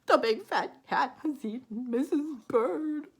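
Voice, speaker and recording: Woman as an earnest, high-voiced storybook husband bird. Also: takes it slowly. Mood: tearful. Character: devoted, emotional male bird husband, storybook character.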